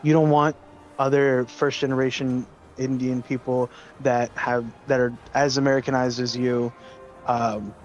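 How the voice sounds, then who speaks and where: A man's voice: mid-range voice, level tone, unhurried. A man chatting casually in a probing way, often repeating his questions. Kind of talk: casual chat. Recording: slightly dull lo-fi mic, music behind. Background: music.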